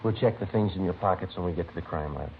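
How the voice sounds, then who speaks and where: An actor playing a terse, methodical American police sergeant, matter-of-fact and procedural, in 1950s radio drama style. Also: male voice, low voice, fast, phone-quality sound. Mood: businesslike. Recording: scratchy, band-limited vintage radio recording.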